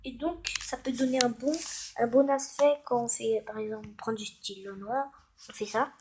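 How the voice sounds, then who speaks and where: A woman hosting a casual, improvised tutorial, hesitant and halting as she thinks aloud while demonstrating. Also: on the high side, steady tone, darkish tone, a little hiss or hum. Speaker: tutorial host.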